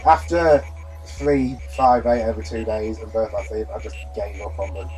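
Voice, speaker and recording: A man's voice: lowish voice, steady tone, normal speed, distant mic, poor audio. A young man co-hosting a let's play, chatting casually and swearing now and then. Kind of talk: casual chat. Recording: loud game music behind voice. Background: video game music.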